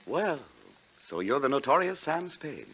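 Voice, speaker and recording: A man's voice: a little high, sing-song, unhurried. An actor playing a fussy, scheming wealthy stepfather, florid and hesitant, in 1940s radio style. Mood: haughty, aggrieved. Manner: pompous, florid, flustered hesitations. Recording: muffled, noisy 1940s radio recording.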